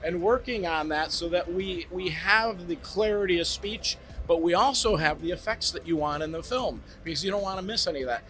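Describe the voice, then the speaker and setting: High, bright-voiced man pitching gear. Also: brisk, hardly any pauses, soft background music. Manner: eager sales pitch, lively explaining. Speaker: brand representative demonstrating home theater gear. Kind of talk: product demo talk. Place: demo room at a trade show.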